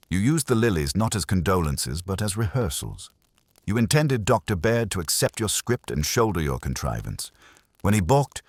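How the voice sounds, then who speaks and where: A man's voice: sits low, dry recording, exaggerated voice. A man playing an imperious, ponderous genius detective in an audiobook mystery, formal and pronouncing in manner.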